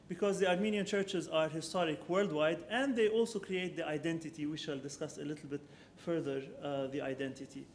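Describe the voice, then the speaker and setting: A man with a somewhat high voice gives an academic architecture lecture, measured and unhurried. He thinks aloud as he goes, filling pauses with ums and correcting himself mid-sentence. A faint low rumble sits under the recording. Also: a little mellow. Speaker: architecture professor giving a lecture.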